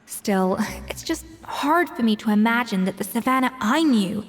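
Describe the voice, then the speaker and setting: A woman's voice: fairly high pitch, lively intonation, moderate pace, natural voice. A woman voicing a proud, exacting but caring master chef in a video game, composed and articulate. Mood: troubled, reflective. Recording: reverberant, background music.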